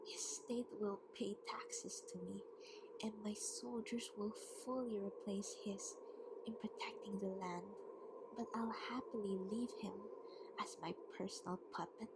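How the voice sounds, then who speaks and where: A woman's voice: mid-range voice, fairly even intonation, unhurried, lightly acted, rough recording. A woman playing a haughty, teasing vampire queen in an ASMR roleplay, often half-whispering in a taunting, mock-polite way. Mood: commanding, menacing. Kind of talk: ASMR roleplay, scripted monologue.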